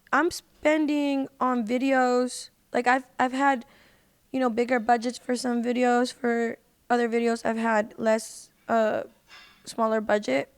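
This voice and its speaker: Woman podcast guest, kinda high voice, slangy casual storytelling, repeats stuff for emphasis, dry sound. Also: clear, resonant tone, no echo. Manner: informal, slangy, emphatic with repetition. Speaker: podcast guest, independent singer. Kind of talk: casual personal storytelling in an interview.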